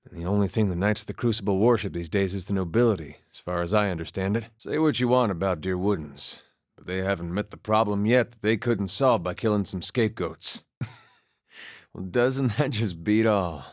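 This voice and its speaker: An actor playing a wry, easygoing farmer and war veteran with a folksy, understated way of talking, in a video game. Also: male voice, fairly low pitch, brisk, no room sound, clean studio sound, close to an everyday voice. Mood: bitter, musing. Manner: folksy, understated, dryly humorous, drops words. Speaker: video game companion character. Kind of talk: video game voice acting, conversational dialogue.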